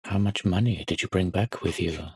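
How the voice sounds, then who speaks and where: A man voicing snobbish, sneering prep-school boys, quieter and a little higher than his narration, with a husky tone. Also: normal speed, dry recording, full character voice.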